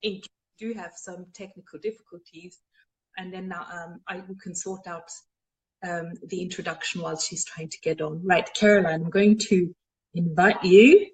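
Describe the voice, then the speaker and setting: A woman's voice: lowish voice, fairly even intonation, moderate pace, low-quality recording. A woman with an Austrian accent hosting a live online show, chatting casually with many fillers.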